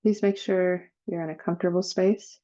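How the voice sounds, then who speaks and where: Female meditation guide. Lowish, dark and soft-toned, unhurried and soothing, leaves long gaps. Slightly dull recording. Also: fairly even intonation. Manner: slow, soothing, with long pauses.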